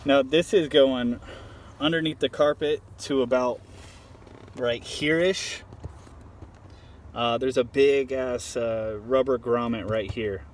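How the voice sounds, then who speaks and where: Casual man, clearly noisy outdoor recording. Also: mid-range voice, fairly even intonation, slow pace, long pauses. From Southern California, lives there. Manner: laid-back, hesitant, self-effacing, loosely worded. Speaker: vlogger demonstrating a DIY truck install. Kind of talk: casual unscripted how-to walkthrough. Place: outdoors in and under a pickup truck. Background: clear outdoor and vehicle ambience.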